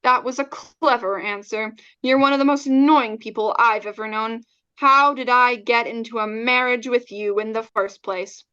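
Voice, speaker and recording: A woman's voice: on the high side, moderate pace, clear, resonant tone, dull audio. A woman playing a bitter, sarcastic wife who is leaving her husband, in an old-time radio drama with cutting delivery. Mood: scornful, needling. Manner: cutting, scornful, deadpan sarcasm.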